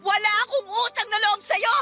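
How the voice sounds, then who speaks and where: A woman's voice: extremely high pitch, normal speed, bright, forceful tone. A woman playing a defiant, scornful young housemaid bent on revenge in an emotionally charged radio drama. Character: bitter, vengeful young housemaid confronting her abusive stepfather. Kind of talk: Tagalog radio drama acting. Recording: music bed under the voice.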